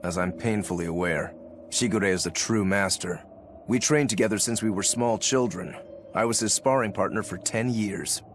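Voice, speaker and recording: Casting: actor as determined game swordsman, low voice, easygoing and straightforward, light music behind. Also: normal speed, lightly acted. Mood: explaining, earnest. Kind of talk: English video game dub acting.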